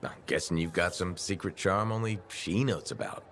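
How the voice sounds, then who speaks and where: An actor playing an easygoing freelancer pilot in a video game, with a wry, friendly, teasing manner. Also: male voice, low voice, animated, moderate pace, a bit bright, slight background noise. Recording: music behind the voice.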